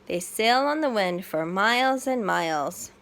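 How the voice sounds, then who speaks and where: A welcoming air show guide from a children's storybook, read by a woman, with a very animated delivery. The guide explains things simply and clearly in short sentences. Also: mid-range voice, a little slow. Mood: informative.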